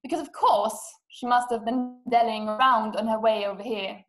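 A noticeably high voice with room echo from a video call. It is a young woman acting a fretful, imperious invalid wife in a play, full of complaint and self-pity. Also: steady tone, moderate pace, music in the background, close to an everyday voice. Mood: complaining. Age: young adult. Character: anxious, demanding, self-pitying invalid wife. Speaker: actress. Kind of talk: stage acting in a radio-play adaptation. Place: small room indoors. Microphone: webcam video call. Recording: roomy laptop video-call sound.

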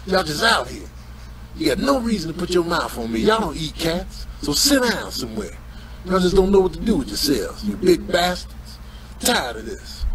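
Man voicing a high, animated streetwise talking animal. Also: poor audio. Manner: repetitive slangy banter, addressing everyone as man. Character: streetwise trash-talking animal, excitable and cocky male. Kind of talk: comedic animal video voice-over.

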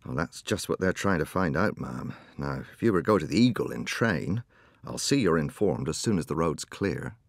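A man's voice: extremely low pitch, expressive, moderate pace, gravelly, dry recording, exaggerated voice. A man doing a stolid rustic village constable. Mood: confidential. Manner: broad rural dialect, deferential. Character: rustic male village policeman, stolid and polite.

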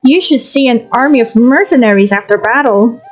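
A woman's voice: a little high, normal speed, natural voice. A woman playing a brash, fun-loving young mercenary in a game fan dub, cheeky and bold. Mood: bold, persuasive. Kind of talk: fan dub voice acting of a fantasy story game. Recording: music behind the voice.

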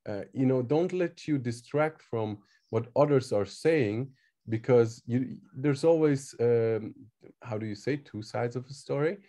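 Mellow man, halting English. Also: mid-range voice. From Switzerland. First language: not English. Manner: halting, searching for words. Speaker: guest, crypto project team member. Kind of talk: casual interview answers.

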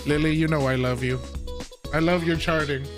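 High-pitched playful man streaming games. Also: fairly even intonation, moderate pace. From Vietnamese. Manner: playful, joking, laughs often. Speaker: game streamer. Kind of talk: casual livestream chat while gaming. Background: game music.

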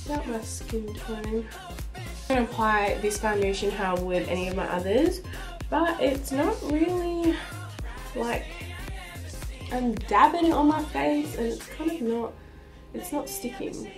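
A woman's voice: a little high, over music. A young woman with a slightly stuffy voice from a cold, chatting casually through a makeup review in a small room. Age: young adult. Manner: chatty, unhurried, trailing off mid-sentence. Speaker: beauty vlogger. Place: small indoor room, plain wall.

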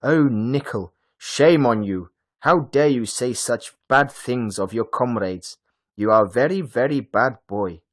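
A man's voice: mid-range voice, expressive, a little slow, breathy edge, voice pushed up. A man voicing a kind-hearted but fussy woman schoolteacher in a storybook, addressing a class emphatically. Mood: shocked, scolding. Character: gullible, kind-hearted German woman schoolteacher, fussily indignant.

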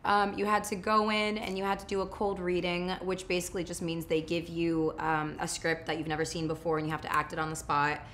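A young woman whose first language is Polish, raised in New York, chatting casually as an interview guest on a sofa in a small room, candid and quick to laugh. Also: fairly low pitch, flat delivery, a bit bright, slight background noise. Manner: laughing, playful, candid storytelling. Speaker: guest actor.

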